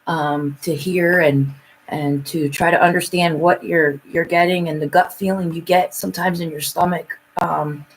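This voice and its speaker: A woman speaking casually and haltingly as a psychic reader in a live chat, often repeating her phrases. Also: on the low side, fairly even intonation, a little hiss or hum, low-quality recording.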